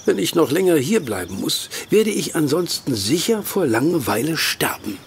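An actor playing a bored, brilliant detective in a radio play, speaking in an arch, dryly self-important way. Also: male voice, fairly high pitch, big pitch swings, moderate pace, creaky, slight background noise. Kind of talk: audio drama dialogue acting.

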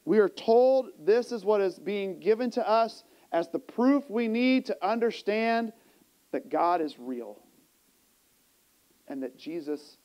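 High-pitched male pastor preaching emphatically. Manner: emphatic, rhetorical questions, swings between hushed and raised delivery. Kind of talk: sermon preached to a congregation. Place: church sanctuary stage, indoors. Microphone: small mic clipped to the chest.

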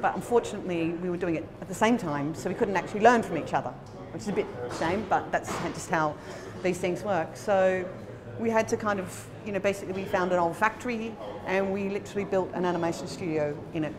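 Woman, Australian accent, slightly low voice, informal and emphatic, interview storytelling, clear crowd chatter in the background. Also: fairly quick, hardly any pauses. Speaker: film producer being interviewed. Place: indoor room by a bright window at a festival.

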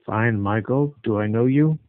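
A man's voice: medium pitch, a little slow. A man in a phone conversation, blunt and skeptical, questioning the caller as he strings them along. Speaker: call recipient stringing scam callers along. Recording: tinny narrowband phone line.

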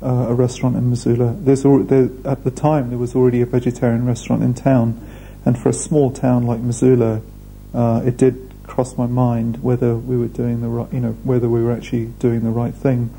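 A man talks through a documentary interview in a measured, hesitant way. His voice is dark and gravelly with little pitch movement. A faint hiss runs under the old video recording. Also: monotone, low-quality recording. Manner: measured, thoughtful, hesitant fillers. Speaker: restaurant founder. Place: indoor room.

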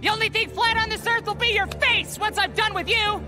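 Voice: very high voice, fairly even intonation, a little fast, over music. An actor playing an earnest, plucky young boy superhero in a comedy cartoon game, mock-heroic in manner. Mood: heroic, defiant. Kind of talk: animated comedy game acting.

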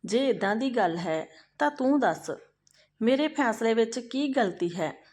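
A woman's voice: fairly high pitch, animated, measured pace, darkish tone. A woman storyteller voicing a stern, proud king who commands and questions in a folk tale. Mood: indignant.

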